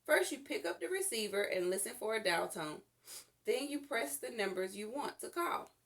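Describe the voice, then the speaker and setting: A woman voicing a patient, firm, caring mother who explains things calmly in a children's storybook. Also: on the high side, normal speed. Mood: instructive. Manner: calm, firm, instructive. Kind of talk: children's storybook read-aloud.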